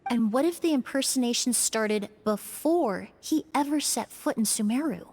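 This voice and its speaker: An actress playing a calm, analytical investigator in a video game, speaking in a measured, articulate, explanatory way. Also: female voice, on the high side. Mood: calm, deductive. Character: composed, analytical female perfumer investigator, calmly deducing. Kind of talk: scripted video game dialogue.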